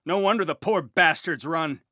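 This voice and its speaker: A man's voice: fairly high pitch, normal speed, sharp tone, dry recording, studio quality. A man playing a tough, cocky, sweary volunteer soldier in a war game, emphatic and salty. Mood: cocky, indignant. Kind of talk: video game unit voice lines.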